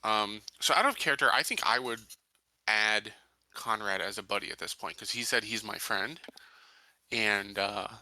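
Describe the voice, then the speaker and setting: A man talks through his moves in a roleplaying game, casual and hesitant as he thinks aloud. His voice sits fairly low but is strikingly bright and sharp. The recording is dry and close. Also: moderate pace, no echo. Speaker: tabletop roleplaying player. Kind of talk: casual game chat, explaining character actions.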